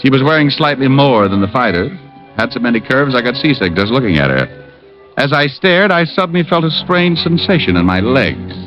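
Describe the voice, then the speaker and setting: A man playing a radio private eye who tells his case in dry, wry asides. He is a wisecracker in the old detective-show manner. The recording is dull and muffled, with clear music behind him. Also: mid-range voice, very animated, fairly quick, lightly acted. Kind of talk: 1940s-50s radio detective acting and first-person narration.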